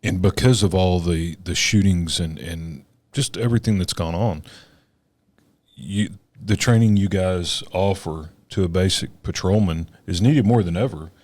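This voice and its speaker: A man's voice: low voice, a little slow, darkish tone, dry recording. A man co-hosting a podcast, a police officer speaking in an earnest, emphatic way. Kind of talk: casual podcast conversation.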